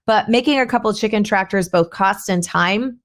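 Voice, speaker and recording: A hoarse, middle-aged woman talks casually into her podcast mic. Her delivery is chatty and meandering. She often restarts a thought partway through, as if working it out aloud. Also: mid-range voice, normal speed. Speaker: podcast host. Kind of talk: casual solo podcast talk.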